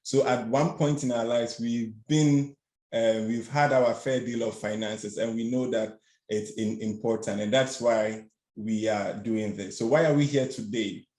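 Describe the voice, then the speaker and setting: A young man from Ghana presents over a video call in a halting, slightly nervous way, stumbling and restarting with fillers as he goes. His middle-pitched voice sits in a noticeably roomy, echoing space. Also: steady tone, darkish tone. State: a bit nervous. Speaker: student workshop facilitator. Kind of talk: webinar presentation. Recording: roomy echo.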